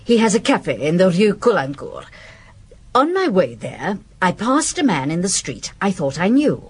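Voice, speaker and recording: An actress playing a blunt, guarded former servant giving evidence in a radio detective drama, dry and sardonic. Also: female voice, on the low side, huge pitch swings, normal speed, breathy edge, heavily acted. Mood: recalling, guarded.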